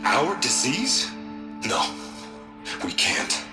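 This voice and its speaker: An actor in a video game playing a guilt-ridden, unhinged male tech magnate who talks haltingly and turns grandiose. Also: mid-range voice, huge pitch swings, loud music behind the voice, full character voice. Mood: fevered. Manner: halting, stammering, turning grandiose. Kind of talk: dramatic video game acting.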